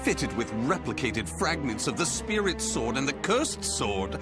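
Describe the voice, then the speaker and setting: A grandiose mad scientist from a video game. His voice swings dramatically up and down at a slow, savoring pace, gloating and theatrical. Loud music plays behind him. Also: medium pitch, sing-song. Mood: exultant, manic. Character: eccentric fanatical male scientist, grandiose, obsessed with saving humanity.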